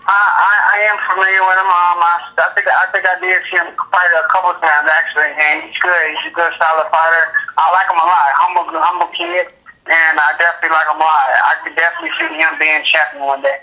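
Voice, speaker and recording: A young man with a high, very bright voice talks bluntly about fighting, circling back with plenty of "you know"s. He comes through a phone line. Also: fast. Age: 20s. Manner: blunt, repetitive, many fillers. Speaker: boxer guest. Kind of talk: phone interview.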